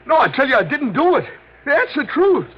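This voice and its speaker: A shabby, frightened stickup man from a vintage radio crime show. His high voice jumps around as he whines and stammers out slangy protests. The sound is muffled old broadcast audio. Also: very animated, normal speed. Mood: frightened, pleading. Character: panicky shabby male wino stickup man. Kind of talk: 1950s radio crime drama acting.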